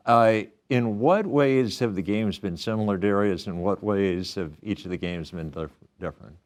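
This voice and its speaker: A man's voice: on the low side, moderate pace. A man hosting a studio sports interview show, chatty and self-deprecating, often restarting his questions.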